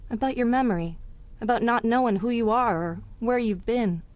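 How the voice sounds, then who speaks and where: An actress playing a plucky, stubborn, warm-hearted young city woman in a 1930s pulp radio drama, with spirited, slangy old-time talk. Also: fairly high pitch, normal speed, dry recording, close to an everyday voice. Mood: curious, impressed.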